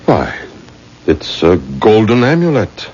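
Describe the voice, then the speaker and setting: An actor playing a proud, firm middle-aged father in a 1970s radio drama, measured and courteous. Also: on the low side, wildly swooping pitch, darkish tone, breathy edge, exaggerated voice. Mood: puzzled, skeptical. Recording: muffled vintage radio recording.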